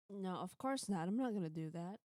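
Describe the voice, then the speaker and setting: A woman hosting a casual visual novel stream, talking haltingly in a playful, teasing way. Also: mid-range voice, sing-song, darkish tone, no echo, studio quality. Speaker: host of the livestream.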